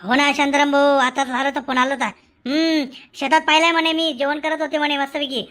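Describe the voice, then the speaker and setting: Cartoon schemer, woman as village man: high-pitched, excitable, insistent. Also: fast talker. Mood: scheming, excited. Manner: insistent, repeats phrases. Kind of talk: cartoon comedy dialogue. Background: faint music.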